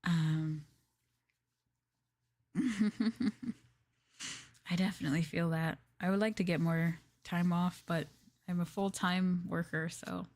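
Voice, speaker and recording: A woman livestreamer chatting casually with viewers in a gentle, hushed, soft-spoken ASMR style, close to the microphone. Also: on the low side, little pitch movement, takes it slowly, pauses often, no echo. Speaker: VTuber livestreamer.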